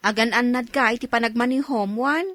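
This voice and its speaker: Casting: woman as nagging young wife, Ilocano accent, high-pitched, emotive radio-style drama, close dry sound. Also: brisk, a bit bright, dry recording, natural voice. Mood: dutiful. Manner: scolding, emotive. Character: nagging, quick-tempered young Ilocano wife, pregnant, devout.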